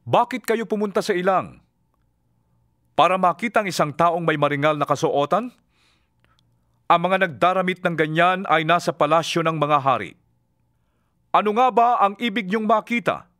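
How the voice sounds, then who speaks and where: Fervent preacher, high forceful voice, solemn, authoritative, declamatory, scripture audio drama. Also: male voice, animated, no room sound, clean studio sound. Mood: solemn, challenging. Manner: declamatory, deliberate.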